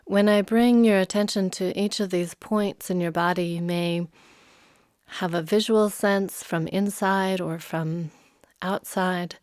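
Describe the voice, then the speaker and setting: A woman leading a guided meditation as a yoga nidra teacher, soothing and unhurried, leaving long pauses. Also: lowish voice, fairly even intonation, darkish tone, smooth, dry recording, clean studio sound.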